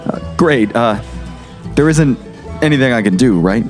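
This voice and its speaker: A man's voice: mid-range voice, expressive, unhurried, over music, close to an everyday voice. A man playing a weary, exasperated small-town sheriff in a radio drama. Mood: sympathetic, awkward.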